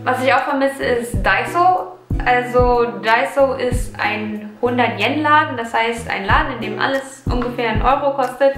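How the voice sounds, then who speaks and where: A woman in her twenties from Germany talks casually from her sofa, pausing now and then to find the right words. Her voice sits high, and the room gives it a noticeable echo. Music plays softly in the background. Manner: chatty, reflective, searching for words. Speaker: vlogger answering viewer questions. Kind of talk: casual Q&A vlog. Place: living room, seated on sofa.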